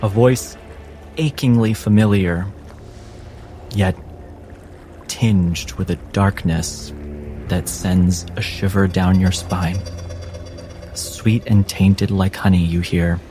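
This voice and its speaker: Slow, low-voiced male game master. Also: steady tone, dark tone, slight background noise. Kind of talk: dramatic tabletop game narration. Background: background music and sound effects.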